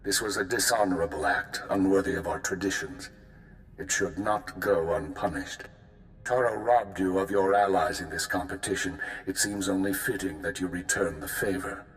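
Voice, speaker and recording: A man's voice: low-pitched, normal speed, a bit bright, slight background noise, low-quality recording. A man voicing a stern, honour-bound old warrior in a video game, solemn and formal in his speech.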